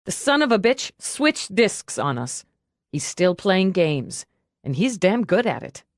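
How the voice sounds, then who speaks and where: A woman's voice: medium pitch, big pitch swings, unhurried, no echo, studio quality. A woman voicing a brusque, sardonic female police lieutenant in an audiobook, giving blunt orders. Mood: angry. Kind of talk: audiobook character dialogue.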